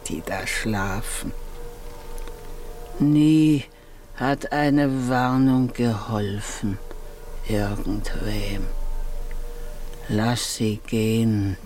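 An actor playing a grave, weary, fatalistic companion in a 1950s German radio play, speaking in solemn, portentous phrases. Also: male voice, mid-range voice, darkish tone. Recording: 1950s radio production with music behind.

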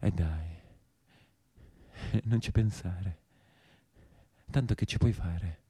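A man's voice: sits low, slow, warm tone, breathy edge, dry recording, full character voice. An actor playing a tender brigand in prison, coaxing his beloved intimately. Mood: tender, soothing. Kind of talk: theatrical monologue.

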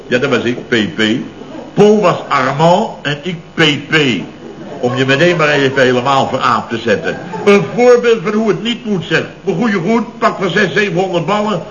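An actor in a radio comedy playing an indignant, blustering boss of fifty who grumbles and exclaims, repeating himself. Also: male voice, on the high side, lively intonation, normal speed, fairly bright tone. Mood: aggrieved. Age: 50s. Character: blustering, indignant fifty-year-old male building firm boss. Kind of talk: 1970s radio comedy acting. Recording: muffled, noisy old radio recording.

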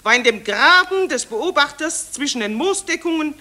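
A self-important lady war reporter in a satirical radio play. Her voice is high and bright, swooping widely as she declaims in grand, affected phrases, thrilled by the battle. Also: lively intonation, moderate pace. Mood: self-satisfied. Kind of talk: satirical radio drama acting.

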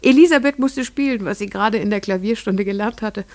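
A woman as a hushed, wondering farmer's wife. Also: mid-range voice, a little fast, close to an everyday voice. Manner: dreamy, hushed in places, rising to rapt wonder. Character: farmer's wife, adult mother, haunted and wondering. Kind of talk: scripted first-person narration in a radio play.

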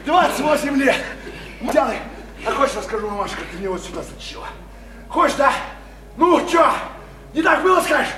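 A man's voice: very high-pitched, animated, fairly quick, pauses often, bright, forceful tone, exaggerated voice. An actor playing a crude, bullying married working-class man in a Russian radio play, coarse and slangy, jeering and repeating himself insistently. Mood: threatening, angry. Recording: roomy, slightly noisy stage-like radio recording.

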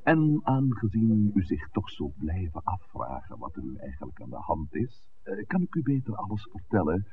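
An erudite, wry village priest, with a very low voice that moves expressively up and down. He lectures in measured, articulate phrases, on a muffled old radio drama recording. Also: huge pitch swings, creaky, slight background noise, full character voice. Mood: earnest, explanatory. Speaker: actor in a radio play. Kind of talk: 1960s Dutch radio drama acting.

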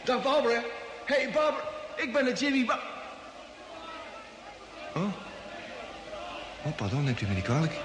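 A man playing an excitable, bewildered young space traveller in an old radio drama, stammering and indignant. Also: very high voice, normal speed, pauses often, full character voice. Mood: puzzled, indignant. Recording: echoey, noisy old radio play recording.

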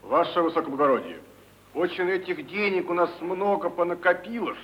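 A man acting a timid police underling in an old stage drama. His high voice is respectful and cautious, and the recording is muffled. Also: a little fast, lightly acted. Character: deferential police subordinate, timidly advising his boss. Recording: dull old archive recording.

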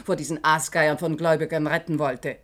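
An actress playing an outspoken senior female prosecutor in a German radio play, arguing forcefully and with biting scorn. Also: low-pitched, fairly even intonation, a little fast, natural voice. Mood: scornful, heated. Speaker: actor.

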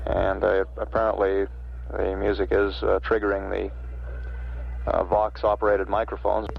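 An American man with a low, creaky voice gives live spaceflight updates. He is halting and matter-of-fact, full of hesitations. The sound is a dull archival radio transmission. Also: completely flat delivery. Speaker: mission control public affairs officer. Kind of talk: live spaceflight status announcement.

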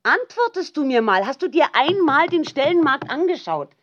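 A woman's voice: high voice, very animated, normal speed, a bit bright. An actress playing a combative female partner with radical views in naturalistic radio drama, insistent and challenging with pointed questions. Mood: exasperated, angry. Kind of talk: naturalistic radio play dialogue. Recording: dull, lo-fi home tape sound.

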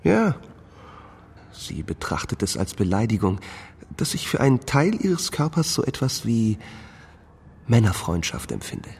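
An actor playing a bitter, obsessive man in a radio crime drama, speaking intensely with a husky voice. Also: mid-range voice, huge pitch swings, a little slow, pauses often, a little mellow, slight background noise, full character voice. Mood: wounded, bitter. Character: bitter, obsessive man jealously claiming a lost limb.

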